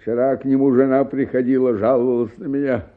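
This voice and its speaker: A man's voice: mid-range voice, steady tone, fairly quick, narrow, tinny audio, natural voice. An actor playing an exhausted, ailing and irritable bank official in a theatrical radio play, groaning and sighing as he talks. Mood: aggrieved self-pity.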